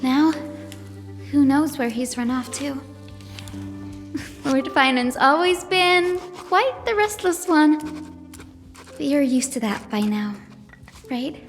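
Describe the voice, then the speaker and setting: A gentle, chatty young woman. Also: sits high, animated, takes it slowly, pauses often, full character voice. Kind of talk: game voice acting. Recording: long hall reverb. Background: soft music.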